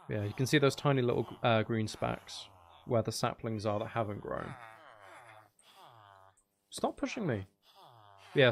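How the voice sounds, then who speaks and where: A man's voice: medium pitch, vocal fry. A man giving casual, unscripted gameplay commentary as a video host, thinking aloud and trailing off mid-sentence.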